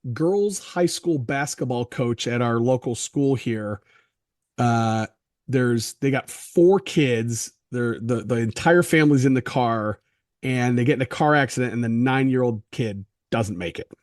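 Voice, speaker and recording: A man's voice: mid-range voice, slow pace, a little mellow, no echo. Curious man hosting, dry sound. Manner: conversational, curious questioner. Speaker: podcast host. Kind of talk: casual interview on a video call. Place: home, remote video call. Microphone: video call microphone.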